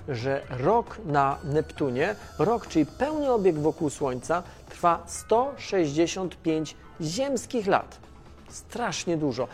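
An actor playing an excitable, scatterbrained, inquisitive man who rambles and repeats himself in a children's educational audio drama. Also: on the high side. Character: Tomasz, scatterbrained, excitable, inquisitive male friend of space probe. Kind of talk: children's educational radio play.

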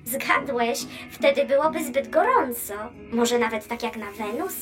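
A woman voicing a chatty, cheerful female space probe who explains science in a children's educational cartoon, teasing and lively. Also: on the high side, a little slow. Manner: bubbly, lively, playfully teasing. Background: background music.

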